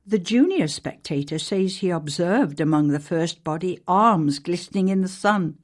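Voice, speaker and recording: A woman narrating an archaic newspaper account as a ghost story, in a measured, formal storytelling manner. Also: lowish voice, animated. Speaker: actor reading a historical newspaper article as a ghost story.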